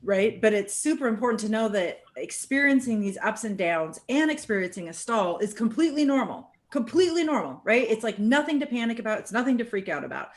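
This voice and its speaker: A woman's voice: fairly high pitch, lively intonation, brisk, few pauses. A woman hosting a casual group chat, upbeat and encouraging, often ending thoughts with "right?". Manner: upbeat, encouraging, chatty with many "right?" tags. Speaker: host.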